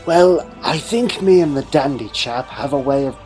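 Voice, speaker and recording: A man voicing a calm, curious gentleman scientist hero in a fan sci-fi audio story, polite and measured. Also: on the high side, soft background music, natural voice. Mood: reassuring.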